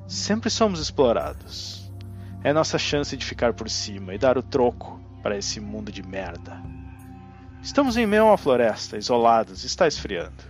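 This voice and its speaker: An actor with a Brazilian accent playing a manipulative undead necromancer in an audio drama, theatrical, grandiose and cajoling. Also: male voice, medium pitch, sing-song, normal speed, faint music behind, lightly acted. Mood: smug, cajoling. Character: undead male necromancer ancestor, smug, manipulative, persuasive villain.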